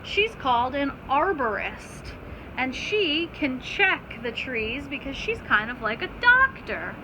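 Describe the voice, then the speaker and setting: A woman's voice: sits high, big pitch swings, slow pace. A woman teacher reading aloud to children, stopping to explain simply and put questions to her listeners. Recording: clear background noise.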